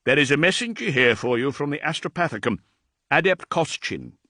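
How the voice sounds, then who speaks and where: A man's voice: fairly high pitch, expressive, fairly quick, pushed and bright, dry recording, studio quality, voice pushed up. A man voicing an aged, devoted male servant who speaks with deference in an audiobook. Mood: deferential. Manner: pleading, deferential.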